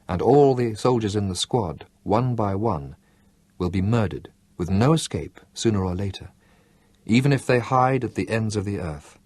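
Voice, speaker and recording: A man voicing a frightened young woman in an audiobook, in a hushed, confiding tone with a husky voice. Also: fairly low pitch, no room sound.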